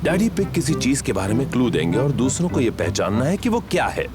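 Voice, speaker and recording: A man's voice: medium pitch, very animated, brisk. A man as the cheerful narrator of a children's cartoon, reading scripted explanations. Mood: explanatory. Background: music.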